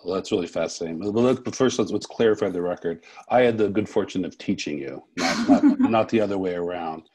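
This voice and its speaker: A man interviewing conversationally, halting and repeating words as he thinks. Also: sits low, a little mellow, breathy edge. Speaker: interviewer, festival co-director.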